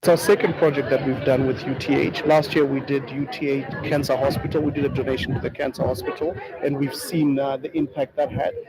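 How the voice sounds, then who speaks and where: Fast-talking man with crowd chatter behind. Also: medium pitch, level tone, rough recording. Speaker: hotel general manager. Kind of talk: press interview remarks.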